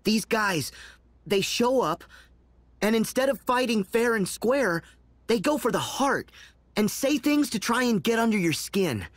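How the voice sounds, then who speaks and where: Game lead: idealistic teenage boy, emphatic, measured pace, close dry sound. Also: high voice, big pitch swings, dry recording, heavily acted. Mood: serious, indignant. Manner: sincere, emphatic. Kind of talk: video game voice acting.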